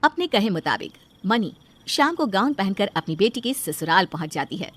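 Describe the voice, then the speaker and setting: Lively woman story narrator. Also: medium pitch, normal speed.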